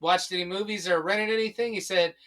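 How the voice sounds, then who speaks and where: A middle-aged man in casual podcast conversation with friends, explaining things in a halting, repetitive way. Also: high-pitched, steady tone, a bit bright. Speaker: podcast co-host.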